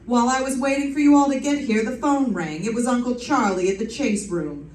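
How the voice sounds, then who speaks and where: A woman's voice: fairly high pitch, brisk, darkish tone, natural voice. A woman playing a wry, hard-boiled private detective in a live old-time radio mystery, telling it in slangy style. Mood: wry, breezy. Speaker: radio drama performer. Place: live stage performance in a reverberant room. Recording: echoey room, picked up from a distance.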